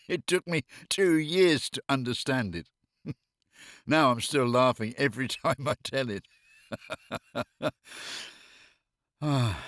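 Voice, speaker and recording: A man's voice: medium pitch, a bit bright, no room sound, clean studio sound. A middle-aged or older man chatting casually and humorously, telling anecdotes in a wry way and often amused by his own jokes. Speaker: expatriate living in Finland.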